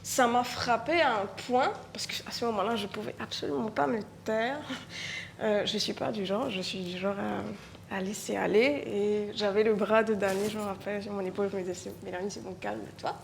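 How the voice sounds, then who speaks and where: A Mauritian woman with a Mauritian French accent, a writer speaking reflectively on a live conference panel, often pausing and repeating words as she searches for them. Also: mid-range voice, fairly even intonation, vocal fry, a little hiss or hum.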